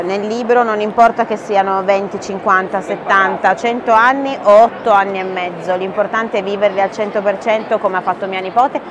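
Woman, 30s, author at a book fair, earnest interview talk, lapel mic, noisy hall. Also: mid-range voice. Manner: earnest, fluent, with frequent fillers. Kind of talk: conversational interview answers.

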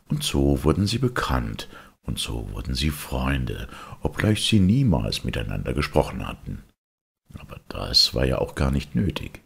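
Male audiobook reader, extremely low and raspy, expressive fairy-tale narration.

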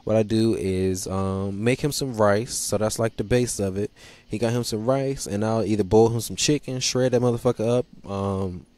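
A man's voice: fairly low pitch, normal speed, dark tone. A young man hosting his own show alone, talking loosely and profanely in joking rants into a handheld mic, seated in a small studio set. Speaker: podcast host. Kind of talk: casual solo monologue. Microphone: handheld microphone.

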